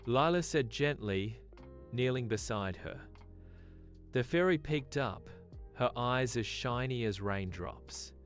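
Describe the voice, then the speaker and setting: A woman's voice: extremely low pitch, expressive, slow, faint music behind. A woman narrating a scripted bedtime story for children.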